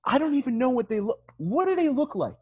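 A man acts out a dim, excitable male model from a comedy. His voice sits high and husky. He is earnest and dramatic, easily frustrated, heard through dull video call audio. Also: quick pace, full character voice. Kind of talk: amateur table read over video call. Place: home, remote video call.

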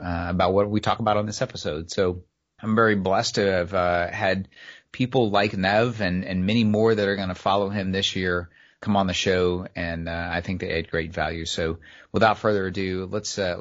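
Male podcast host with a low voice and a friendly, loose delivery full of fillers, on muffled lo-fi audio. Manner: friendly, relaxed, with frequent "uh" fillers. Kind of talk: casual podcast interview.

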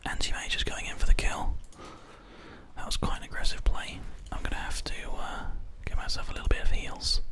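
A man whispers gently and without hurry into a close binaural microphone, commentating on gameplay as an ASMR host. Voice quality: unvoiced whisper. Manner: gentle, unhurried, measured. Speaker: host of an ASMR gaming show. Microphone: close binaural microphone, ear to ear.

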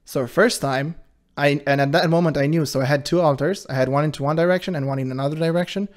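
A man with a high-pitched voice hosting his channel, giving casual commentary in an animated, defensive way and laughing often. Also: fast. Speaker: channel host reacting to a video.

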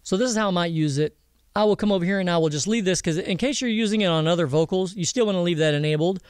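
A man giving a casual software tutorial, explaining informally and directly to viewers. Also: sits high, fast talker, smooth, no echo, clean studio sound. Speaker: audio mixing instructor. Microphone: close voice-over mic over screen recording.

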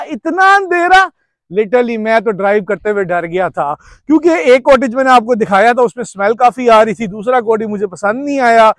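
A man in his thirties giving a casual vlog tour in an animated, exclamatory showman's manner. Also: very high-pitched. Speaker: travel vlogger. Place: outdoors on a wooded road, then inside a cottage.

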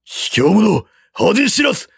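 A man's voice: high-pitched, very animated, takes it slowly, slightly rough, pitched above the performer's own voice, heavily acted. A man voicing a furious, proud army general in an audiobook, cursing and barking commands. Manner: taunting, cursing, commanding shouts. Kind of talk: dramatic audiobook character reading.

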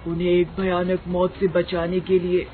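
A woman's voice: fairly low pitch, completely flat delivery, normal speed, narrow, tinny audio, soft background music, close to an everyday voice. A woman voicing a ghostly burnt witch in a cartoon horror story, menacing yet protective and sorrowful. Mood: eerie reassurance.

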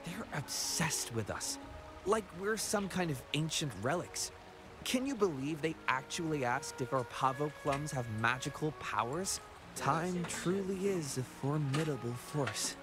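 A man's voice: fairly high pitch, animated, measured pace, over music. A man voicing a bemused, chatty small-town local in a video game, wry and incredulous. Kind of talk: video game character dialogue.

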